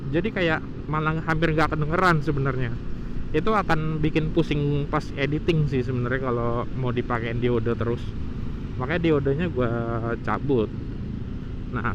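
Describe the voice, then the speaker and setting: A man's voice: on the high side, lo-fi sound, noisy background. A man explaining things informally to viewers in a casual motovlog, thinking aloud as he goes.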